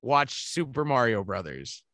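A man's voice: mid-range voice, big pitch swings, unhurried, crowd noise. A man co-hosting a podcast, rambling through casual movie chat with lots of fillers and some swearing. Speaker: podcast co-host.

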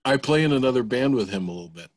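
A man's voice: mid-range voice, expressive, very fast, no echo, studio quality. A man from Milwaukee in a band, talking in a laid-back way about a side project. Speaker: band member guest. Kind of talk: casual chat.

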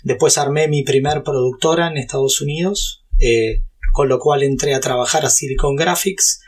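A man in his fifties with an Argentine Spanish accent, Portuguese-speaking from childhood, telling his story at length in a relaxed interview, with many fillers and restarts. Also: mid-range voice, moderate pace, husky. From Brazil. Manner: talkative, frequent fillers and restarts. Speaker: guest, YouTuber and entrepreneur. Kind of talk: casual interview.

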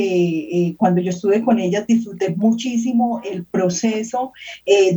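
A woman co-hosting an interview, speaking conversationally in halting, thoughtful phrases. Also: medium pitch, dark tone, husky. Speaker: co-host and professor. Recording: muffled, distant from the mic.

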